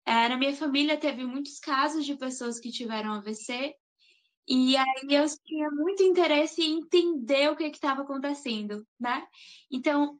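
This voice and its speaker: Chatty high-voiced woman. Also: a bit bright. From Salvador, Bahia, Brazil. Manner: chatty, frequent tag questions. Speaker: guest, master's student. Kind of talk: interview answers.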